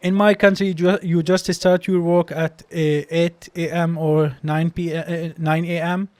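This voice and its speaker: A man's voice: a little high, flat delivery, moderate pace, darkish tone, no room sound. A young man with a Palestinian accent whose first language is Arabic, a doctor telling stories as a podcast guest, hesitant with many restarts. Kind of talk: casual interview storytelling.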